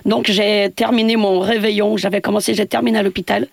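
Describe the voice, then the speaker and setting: A woman's voice: medium pitch, fairly even intonation, fairly quick, vocal fry, no room sound. A woman from Portugal, a building caretaker speaking as a radio interview guest, hesitant with many fillers and restarts.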